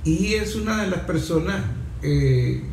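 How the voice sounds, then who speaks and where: Un hombre con acento venezolano que modera un panel en tono conversacional. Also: male voice, fairly high pitch, lively intonation, measured pace, creaky, a little mellow. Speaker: host, moderator. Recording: echoey room with faint background noise.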